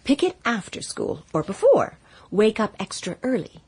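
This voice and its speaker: An earnest, husky-voiced mother. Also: mid-range voice, big pitch swings, moderate pace, a little mellow, heavily acted. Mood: firm. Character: Pearl, earnest anxious young wife and mother in 1940s America. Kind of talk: radio drama acting.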